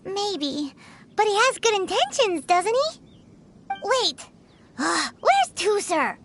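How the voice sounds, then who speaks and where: A woman's voice: very high voice, very animated, slow pace, background noise, heavily acted. A woman voicing a tiny, childlike, chatty fairy companion in a video game. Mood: surprised, curious. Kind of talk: video game character acting.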